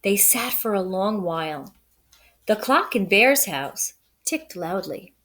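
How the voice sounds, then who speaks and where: A woman reading a children's story aloud in an expressive, deliberate, dramatic way with pauses. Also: medium pitch. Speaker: storybook reader.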